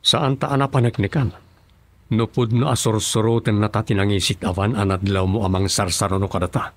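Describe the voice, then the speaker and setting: Radio drama boss, male actor: Filipino accent, lowish voice, commanding, guarded, dry sound. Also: fairly even intonation, a little fast, no room sound, lightly acted. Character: commanding, secretive male boss and husband addressed as Gob. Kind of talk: Ilocano radio drama acting.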